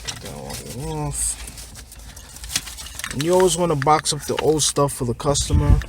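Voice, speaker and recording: A man presenting an unscripted hands-on installation tutorial, talking informally through each step as he works. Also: mid-range voice. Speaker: car audio installer, presenter. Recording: noisy, clicks and handling of parts.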